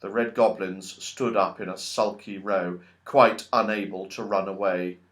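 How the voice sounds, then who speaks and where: A man narrating a children's story from a script in an expressive, dramatic storytelling manner. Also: low-pitched, a little slow. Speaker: audiobook narrator.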